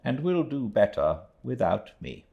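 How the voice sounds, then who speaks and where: A man voicing a brisk, kindly older English gentlewoman in an audiobook, crisp, decisive and formal. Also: mid-range voice, sing-song, dull audio, voice pushed up. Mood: brisk, good-natured. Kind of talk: audiobook character reading.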